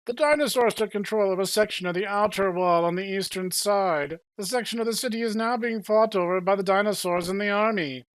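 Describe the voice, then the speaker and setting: A man's voice: high-pitched, a little fast, hardly any pauses, no room sound, voice pushed up, close to an everyday voice. A man voicing a portly, frank townsman in a bar. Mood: informative.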